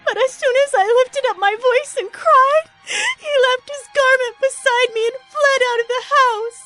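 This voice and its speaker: A very high, shrill voice of a scheming Egyptian wife acted by a woman. She delivers her indignant accusations theatrically in a scripture drama, with music underneath. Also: moderate pace, sharp tone. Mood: tearful, indignant accusing.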